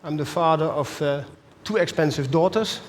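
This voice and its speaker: A man's voice: a little high, moderate pace, slight background noise. A man in his fifties with a Dutch accent, a company CEO joking through a conference keynote speech. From Netherlands. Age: 50s. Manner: joking, self-deprecating. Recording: large hall with long reverb.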